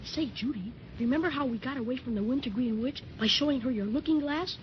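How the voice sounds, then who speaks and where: A woman's voice: high voice, quick pace, natural voice. A performer, a woman, playing a plucky, earnest young boy given to gee-whiz exclamations in a 1930s children's radio serial. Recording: dull, noisy 1930s radio transcription.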